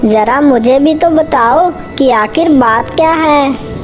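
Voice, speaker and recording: A woman plays a sly, greedy male bird in a children's cartoon. The voice is high and the words come slowly. Faint music sits underneath. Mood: slyly helpful. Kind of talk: Hindi children's cartoon story acting.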